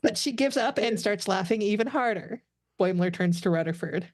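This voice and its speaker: A woman's voice: medium pitch, expressive, moderate pace, darkish tone, no room sound. Woman narrating a script, dry recording. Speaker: narrator in a podcast table read. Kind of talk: scripted stage-direction narration.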